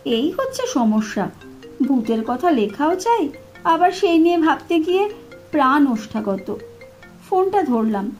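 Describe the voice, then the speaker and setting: A woman narrating a first-person horror story in an expressive, suspenseful, dramatic scripted style. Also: high-pitched, unhurried, soft background music. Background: atmospheric sound effects.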